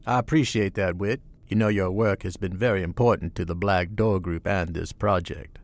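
An actor playing an authoritative, formally polite boss of a secret group in an audio drama, speaking in a measured, commanding way. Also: male voice, low-pitched, fairly even intonation. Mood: approving.

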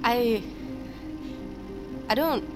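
A woman's voice: a little high, drawn-out words. Woman tech executive, harried and dry, naturalistic audio drama, music underneath. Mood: nervous, halting. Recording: dramatic music score behind.

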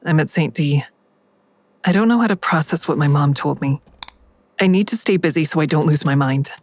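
Blunt, guarded female lead: deep-voiced, dry first-person narration and dialogue for audio drama. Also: moderate pace, a little mellow. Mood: tense. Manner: dry, terse. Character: guarded, blunt, defiant woman narrating her story.

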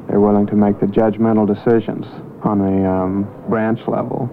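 A businessman giving a measured, formally worded testimonial for a radio advertisement. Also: on the low side, little pitch movement, gravelly. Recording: muffled, faintly noisy radio recording.

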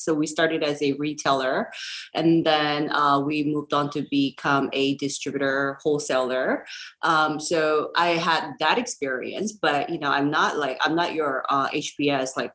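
A woman's voice: low voice, fairly bright tone. A woman, speaking as a guest in a casual podcast interview, chatty and rambling with frequent fillers and laughter. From South Korea. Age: 40s. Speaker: guest entrepreneur.

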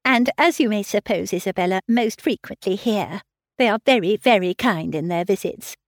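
Cheerful young woman, quick to reassure, read by a woman, dry sound. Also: a little high, lively intonation, no room sound, pitched above the performer's own voice. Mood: eager.

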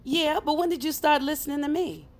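An actress playing a brisk, wry woman who guides a magical novice, speaking casually in clipped phrases in an audio drama. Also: high-pitched, close to an everyday voice. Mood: teasing. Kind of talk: naturalistic audio fiction acting.

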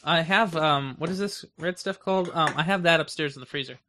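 A man's voice: a little high, brisk, pushed and bright, slight background noise. A man co-hosting a podcast in casual chat, telling things in a rambling, conversational way. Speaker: podcast co-host.

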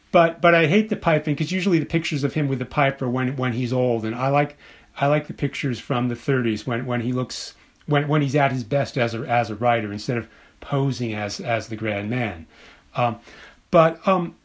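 A man speaking as an academic guest in an interview, thinking aloud haltingly and often repeating words for emphasis. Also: mid-range voice. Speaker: interview guest, author and literature professor. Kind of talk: scholarly interview conversation.

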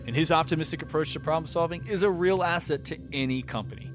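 A man's voice: on the high side, fast talker. A man, the show's director, reading a scripted sponsor message to listeners. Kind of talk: scripted host-read ad. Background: music.

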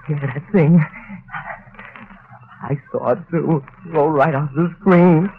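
An actor playing a frightened, simple-minded henchman on the run, pleading and stammering in broken grammar, in old-time radio drama style. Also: high voice. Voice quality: whimpering, stammering, ungrammatical pleading. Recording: hissy, muffled vintage radio recording.

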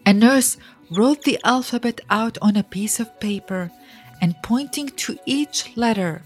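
A woman narrator reading a scripted children's biography in a deliberate, expressive storytelling manner. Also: a little high, faint music behind. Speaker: audiobook narrator.

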